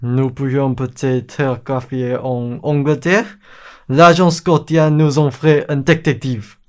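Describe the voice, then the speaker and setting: Woman acting low, meek old gentleman. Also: fairly even intonation, slow pace. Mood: timid, placating. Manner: hesitant, polite, mild. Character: meek, bumbling, deferential old English gentleman. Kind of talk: comic radio play acting in French.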